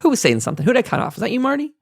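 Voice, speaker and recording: A man's voice: very high voice, huge pitch swings, racing, gravelly, no echo, studio quality. A man hosting a casual roundtable, reading notes aloud and commenting in a chatty, digressive way. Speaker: podcast host.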